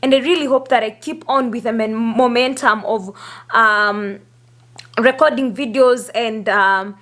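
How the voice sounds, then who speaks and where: A hoarse woman, chatting upbeat to her viewers in a casual vlog, laughing often between phrases. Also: fairly high pitch, unhurried, a bit bright. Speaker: YouTuber. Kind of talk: casual vlog talk to camera.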